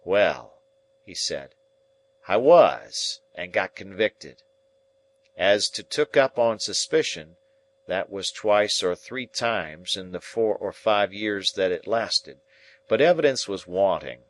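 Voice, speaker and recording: A man voicing a rough old convict who recounts his hard past in broken, ungrammatical speech. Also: deep voice, lively intonation, slow. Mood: grim, confiding.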